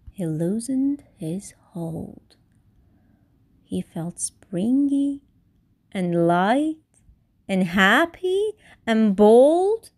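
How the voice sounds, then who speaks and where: A woman reading a children's picture book aloud expressively, with deliberate, theatrical emphasis on key words. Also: on the high side, darkish tone. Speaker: storybook reader.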